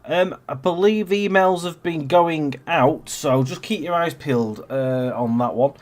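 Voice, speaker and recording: A man's voice: fairly high pitch, a little slow. A man in his thirties with a stuffy, congested voice, casually chatting as he hosts a gameplay livestream, rambling with lots of fillers. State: congested with a cold. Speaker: stream host.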